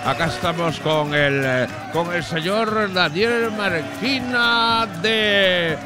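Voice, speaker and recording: A man's voice: high-pitched, slow pace, music in the background, crowd noise. A man playing a boisterous Spaniard from Barcelona, exclaiming, swearing and stumbling for words. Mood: jubilant.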